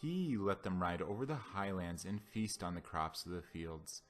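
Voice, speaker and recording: Man, fairly low voice, solemn Bible reading, deliberate declamatory delivery, faint music underneath. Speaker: Bible reader. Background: background music.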